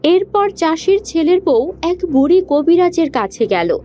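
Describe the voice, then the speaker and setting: A woman's voice: very high-pitched, lively intonation, normal speed, dark tone, lo-fi sound, faint music behind. A woman narrating a scripted fairy tale for a cartoon.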